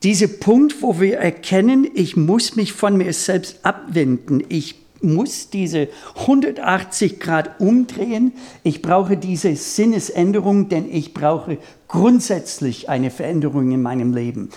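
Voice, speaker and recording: Guy preaching a sermon, second-language speaker, bit high voice, really slow and halting. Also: animated, mellow. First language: not German; speaks German as a second language.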